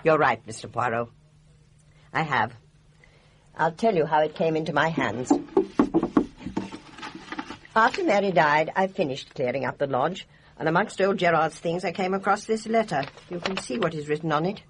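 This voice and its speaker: A woman as a low-voiced, blunt British nurse. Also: normal speed, pauses often, background noise, lightly acted. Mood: conceding, tart. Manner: blunt, guarded, indignant. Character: blunt, defensive older female village nurse. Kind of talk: radio drama acting.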